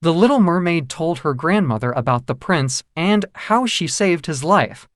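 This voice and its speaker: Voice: medium pitch, expressive, moderate pace, no room sound, clean studio sound. A storyteller narrating a children's fairy tale from a script.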